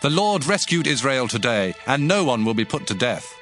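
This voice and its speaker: Firm ancient king, fairly high and animated, soft music underneath, scripture drama. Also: normal speed. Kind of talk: audio Bible drama acting.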